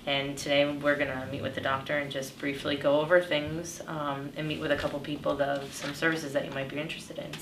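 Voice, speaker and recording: A woman plays a friendly hospital navigator, informal and instructive. Her voice is low for a woman. It sounds echoey and a bit distant, with clear noise around it. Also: level tone, a little fast, few pauses. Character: friendly female patient navigator guiding a cancer patient. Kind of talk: casual role-played dialogue.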